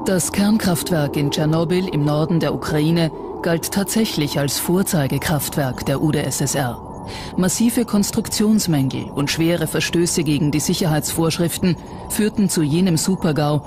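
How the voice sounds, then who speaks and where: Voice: medium pitch, normal speed, background noise. A documentary narrator reading a scripted account. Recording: music under the voice. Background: background music.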